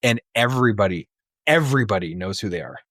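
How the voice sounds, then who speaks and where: A man's voice: fairly low pitch, animated, quick pace, fairly bright tone, no echo, clean studio sound. A man co-hosting a podcast, bantering casually with blunt, sweary and emphatic opinions. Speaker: podcast co-host.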